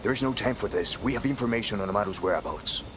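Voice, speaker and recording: A man's voice: fairly low pitch, animated, quick pace, lightly acted. Stern, impassioned rebel leader played by a man. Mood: urgent, earnest. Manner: indignant, urgent, rhetorical. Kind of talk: cinematic game dialogue. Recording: clear vehicle and ambient noise behind.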